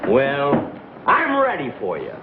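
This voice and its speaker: A man's voice: mid-range voice, wildly swooping pitch, takes it slowly. An actor playing a jittery, exasperated lunch counter man in a 1960s broadcast comedy sketch. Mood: defiant. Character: jittery, put-upon lunch counter man turning bold. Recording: tinny, noisy old broadcast with audience.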